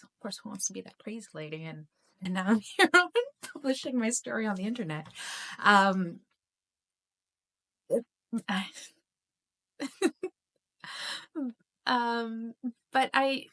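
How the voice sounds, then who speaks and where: A woman's voice: mid-range voice, fairly bright tone. Emotional woman telling a personal story. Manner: emotional, halting, many restarts and self-interruptions. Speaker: spiritual YouTube channel host.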